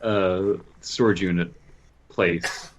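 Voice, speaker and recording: A man's voice: low voice, fairly even intonation, slow pace, slight background noise. A man co-hosting a podcast, bantering casually and stumbling as he reads a story aloud. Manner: stumbles while reading aloud. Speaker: podcast co-host.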